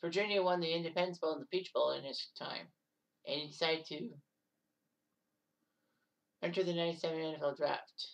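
A woman in her thirties narrating sports history casually, rambling with offhand asides and quick self-corrections. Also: deep voice, steady tone, creaky, far from the mic, dull audio. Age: 30s.

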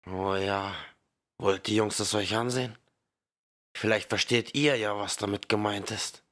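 An actor playing a calm, grieving father of a missing girl in a fan audio drama. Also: fairly low pitch, unhurried.

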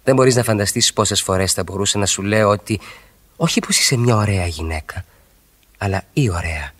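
A man acting in a radio drama as a smitten middle-aged married man, ardently and theatrically flattering a female friend, repeating phrases for effect. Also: on the low side. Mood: amorous, ardent.

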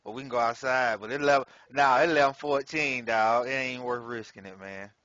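Give me a man streaming a game, bright and animated, recorded on a dull, dry headset mic. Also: mid-range voice, fairly quick, no echo. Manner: slangy, profane, laughs often. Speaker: gameplay streamer. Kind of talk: casual live gameplay commentary.

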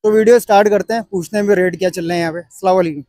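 A man in his thirties from Pakistan with a Karachi Urdu accent, filming a casual walkthrough of an outdoor cattle market on his phone and pressing sellers for lower prices. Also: fairly even intonation, rapid-fire, dark tone, no room sound, clean studio sound. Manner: chatty, pushes for a lower price. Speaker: vlogger.

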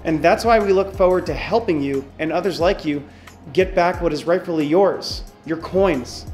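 A man in his forties reading a scripted open letter to camera from a hardware lab, measured and earnest. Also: fairly high pitch, a little mellow, soft background music. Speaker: company spokesman.

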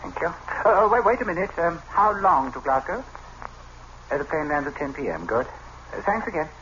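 A man's voice: a little high, big pitch swings, normal speed, noisy background. An actor playing a nervous, brooding man plotting a murder, in old-time radio drama style. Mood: hurried, polite. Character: nervous scheming husband plotting murder, narrating his tale. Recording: muffled old radio broadcast, loud music.

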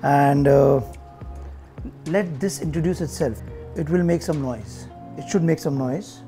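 A man's voice: on the high side, measured pace, warm tone, faint music behind. A man in his forties with an Indian accent, giving a casual, conversational product review to viewers. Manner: conversational, addressing viewers directly. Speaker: tech reviewer presenting an unboxing. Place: indoor showroom-like room.

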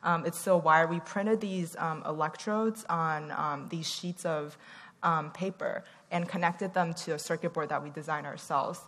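A young woman from Taiwan giving a conference talk on stage, earnest and full of "um" fillers. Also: lowish voice. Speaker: conference speaker. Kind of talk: stage talk presenting research. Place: large hall on stage. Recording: long hall reverb.

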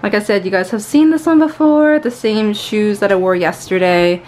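A woman's voice: mid-range voice, normal speed, a little mellow, slight background noise. Upbeat young woman vlogging at home. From Montreal, Canada. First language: English. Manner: chatty and upbeat, laughs often. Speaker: lifestyle vlogger. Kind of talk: casual vlog chat to camera. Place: small bedroom at home. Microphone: handheld camera at arm's length.

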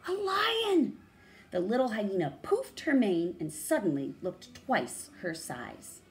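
A woman in her thirties reading a children's story aloud and pausing to explain words, thinking aloud like a teacher. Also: lowish voice, big pitch swings, a little mellow, soft background music. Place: small room at home, indoors.

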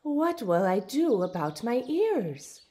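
A woman's voice: on the high side, big pitch swings, slow, warm tone, voice pushed up. A woman voicing a kindly, wistful old forest witch posing as a grandmother in a storybook reading. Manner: sighing, gleeful, tender. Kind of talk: storybook read-aloud.

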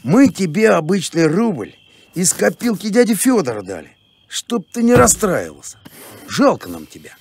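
A man voicing a simple-hearted, laid-back male dog in an animated series, talking in a folksy, colloquial way. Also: high-pitched, normal speed, a little hiss or hum. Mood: sheepish. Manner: colloquial, plain-spoken, folksy.

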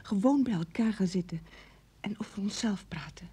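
A wife in a strained marriage for a radio drama. Her voice is very husky and fairly mellow. She probes and reproaches quietly, without much brightness. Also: medium pitch, moderate pace. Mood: wistful. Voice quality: husky, breathy. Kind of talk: Dutch radio drama acting.

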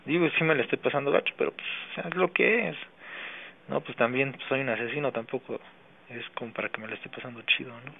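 A Mexican man talking informally on a phone call, slangy, halting and resigned. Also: mid-range voice, gravelly. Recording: noisy, narrow-band phone call.

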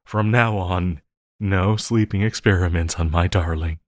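Man acting a slow, lovesick supervillain, halting and needy. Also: deep voice, dry recording. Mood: relieved, nervous. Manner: halting, stammering, melodramatic. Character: obsessive lovesick male supervillain, pleading and needy. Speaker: audio roleplay voice actor. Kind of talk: intimate audio roleplay acting.

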